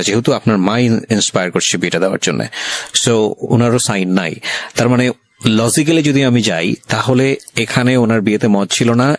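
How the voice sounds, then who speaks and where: A man with a Bangladeshi accent hosting a probing interview, questioning his guest and switching in and out of English. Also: fairly low pitch. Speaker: radio show host.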